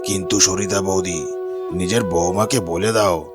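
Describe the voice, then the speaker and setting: A man's voice: low voice, steady tone, unhurried. A man playing a stern, blunt village headman in a cartoon, speaking with firm authority. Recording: music playing behind the voice.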